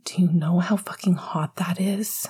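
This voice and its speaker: A woman whispering the lines of an eager young male hockey player, breathless and cursing, in a husky voice. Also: high-pitched, fairly even intonation, unhurried, mellow, heavily acted. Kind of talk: whispered audiobook reading.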